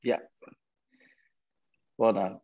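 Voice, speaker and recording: A man hosting a quiz, reading questions out to contestants and responding to their answers in an encouraging way. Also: extremely slow. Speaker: quiz host. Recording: dull lo-fi online call audio.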